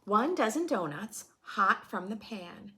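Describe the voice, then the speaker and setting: A woman reading a children's storybook aloud in a dramatic, playful teacher's way, pausing to put questions to the listener. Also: medium pitch, big pitch swings, sharp tone. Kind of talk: expressive storybook read-aloud with teaching asides.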